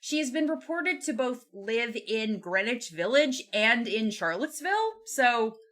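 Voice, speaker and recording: A woman with a bright, forceful voice set a little high, hosting a casual book podcast. She talks in a loose, joking, conversational way, feeling her way through her thoughts. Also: sing-song, a little slow. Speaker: podcast host.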